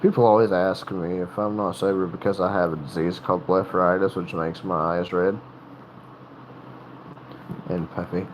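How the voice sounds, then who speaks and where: A man's voice: sits low, steady tone, a little hiss or hum, poor audio. A man from North Carolina with a Southern accent, chatting casually on a livestream, rambling and restarting his sentences. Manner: rambling, halting, many restarts. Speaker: livestream host.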